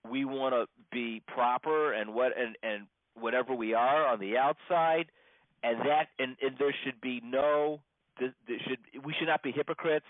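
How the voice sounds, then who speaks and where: A man, a rabbi, giving an informal religious lesson in a halting, conversational way, repeating points for emphasis. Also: medium pitch, dry recording. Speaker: rabbi teaching a class. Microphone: phone. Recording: muffled band-limited phone conference call.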